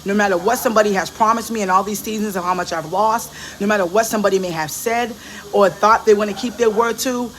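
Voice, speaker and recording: A woman's voice: mid-range voice, quick pace, a bit bright, a little hiss or hum, rough recording. A woman delivering an earnest, preachy motivational monologue, urging women again and again to speak up for themselves.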